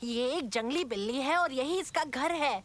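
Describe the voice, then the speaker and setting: A woman voicing a confident, adventurous twelve-year-old boy in a children's animated comedy dub. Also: sits high, normal speed. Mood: firm. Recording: music behind the dialogue.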